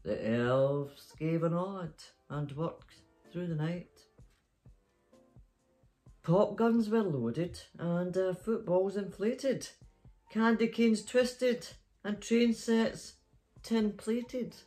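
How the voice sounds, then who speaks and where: Slow-paced middle-aged woman reading a story. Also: medium pitch, dark tone, soft background music. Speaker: storytime host. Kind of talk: reading a children's picture book aloud. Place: small bedroom, sitting up in bed.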